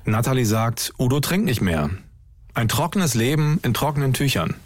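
A man's voice: mid-range voice, big pitch swings, moderate pace, dry recording. A man hosting a documentary podcast, telling the story in scripted narration and laying out questions one by one.

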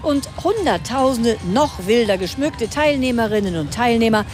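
A woman's voice: on the high side, lively intonation, moderate pace, a little mellow, faint music behind. A woman reading a scripted radio news report as a reporter.

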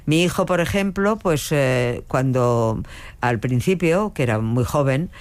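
A woman's voice: extremely low pitch, lively intonation, measured pace, a little mellow, clean tone. An older woman, a veteran dubbing actress with a strong voice, answering interview questions with frequent hesitations and restarts. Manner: hesitant, many fillers and restarts.

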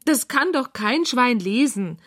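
A woman's voice: fairly high pitch, expressive, takes it slowly, voice pushed up. Woman doing a little girl in a kids bedtime story, curious, matter of fact, says it straight. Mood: unimpressed. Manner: frank, plain-spoken. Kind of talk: children's bedtime story dialogue.

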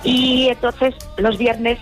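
A woman's voice: fairly high pitch, level tone, takes it slowly, faint music behind. A woman telling an anecdote in casual radio chat, explaining hesitantly and checking with her listeners.

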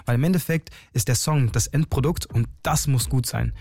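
A man's voice: medium pitch, normal speed, creaky, darkish tone, slightly rough, no room sound. A man in his twenties speaking casually as an interview guest, using youthful slang and English words. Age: 20s. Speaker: interview guest, singer and dancer.

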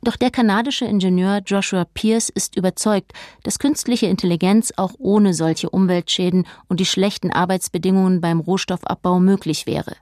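A woman's voice: medium pitch, steady tone, normal speed, hardly any pauses, darkish tone, no room sound. A woman narrating a scripted news documentary as a reporter, recorded close on a studio microphone.

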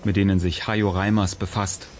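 A male reporter narrates a scripted radio piece. His voice sits somewhat low, in a report style. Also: flat delivery, a little fast, a little hiss or hum.

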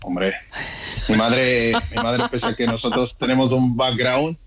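A man's voice: medium pitch, little pitch movement, a little slow, a loud crowd. A man born in Ecuador and raised in Madrid, with a Madrid Spanish accent, answering interview questions on a video call and often repeating words while he searches for them. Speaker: bar owner guest. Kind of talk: remote interview chat.

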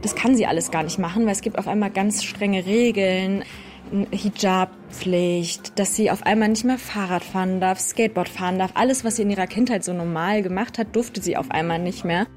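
A woman's voice: mid-range voice, normal speed, darkish tone, slight background noise. A woman in a recorded interview clip, explaining things casually with a few spoken fillers.

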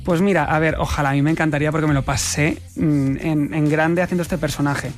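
A young actor from Spain talks at a rapid clip in a relaxed interview, his voice sitting a little high for a man. He laughs easily and trips over his own restarts. Soft music plays faintly behind him. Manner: fast, animated, laughs often, many restarts. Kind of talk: casual interview chat.

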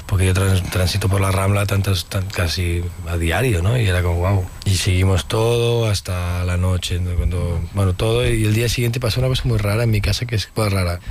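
Low-voiced man telling a story. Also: steady tone, fairly quick, hardly any pauses, a little mellow, a little hiss or hum. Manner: storytelling, acts out quotes, frequent tag questions. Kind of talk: conversational interview.

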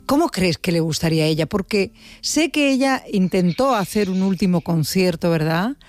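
A woman's voice: fairly low pitch, lively intonation, moderate pace, darkish tone, no echo. A woman with a Spain Spanish accent hosting a conversational radio interview.

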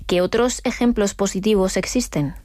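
A woman radio interviewer asking prepared questions in a measured way. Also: medium pitch, darkish tone, no room sound.

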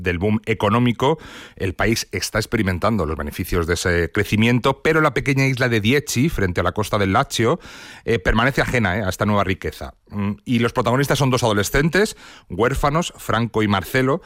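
A man's voice: on the low side, fairly bright tone, dry recording, clean studio sound. A man with an accent from Spain presenting a new book as a reviewer. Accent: Spanish from Spain. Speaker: presenter of comics releases.

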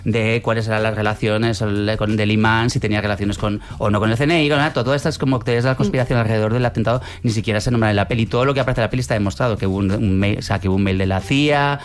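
Director from Navarre, slightly low voice, rapid and explanatory. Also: male voice, fairly even intonation, clear, resonant tone. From Navarre, Spain. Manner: fluent, explanatory, many fillers. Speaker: film director. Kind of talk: radio interview.

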